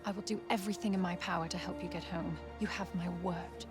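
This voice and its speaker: A woman's voice: fairly low pitch, steady tone, quick pace, lightly acted. An actress playing an earnest fantasy townswoman who speaks in a formal, solemn, old-fashioned way in a video game. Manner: formal, archaic, solemn phrasing. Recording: game music behind the voice.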